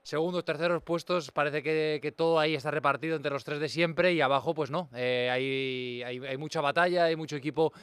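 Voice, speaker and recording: A man's voice: on the high side, fairly even intonation, fairly quick, hardly any pauses, a bit bright, clear, resonant tone, dry recording. A man with an accent from Spain giving live football preview analysis as a radio reporter, often repeating words as he builds long thoughts. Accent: Spanish (Spain). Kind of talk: live match preview commentary.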